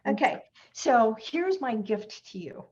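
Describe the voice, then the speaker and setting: A woman's voice: on the high side, very animated, slow pace, a little hiss or hum. Earnest woman on a dull video call. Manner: earnest, reflective, repeats key phrases. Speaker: hypnotherapist guest. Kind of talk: inspirational talk in an online session.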